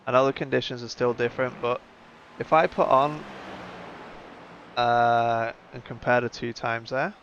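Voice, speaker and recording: A man's voice: medium pitch, flat delivery, unhurried, long pauses, a bit bright. A man hosting a live sim racing stream with casual, conversational commentary, thinking aloud as he goes. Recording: muffled lo-fi audio with background noise.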